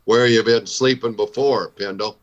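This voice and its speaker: A man's voice: on the low side, steady tone, normal speed, dull audio, lightly acted. A man playing a stern, plain-spoken Old West town marshal in a radio Western. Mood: calm, questioning. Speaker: voice actor in radio show recreation.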